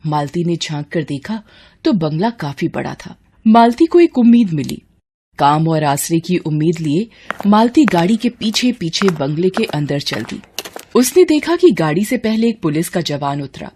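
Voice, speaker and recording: Expressive woman storyteller, very lively intonation. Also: on the low side, moderate pace, husky. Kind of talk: scripted Hindi story narration.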